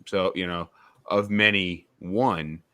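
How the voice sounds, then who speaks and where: A young man hosts a casual discussion podcast in a very low, bright-toned voice. He rambles as he thinks aloud, restarting sentences and filling gaps with "you know." His talk is loose and conversational. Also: steady tone, takes it slowly. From suburbs of Albany, New York. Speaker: podcast host.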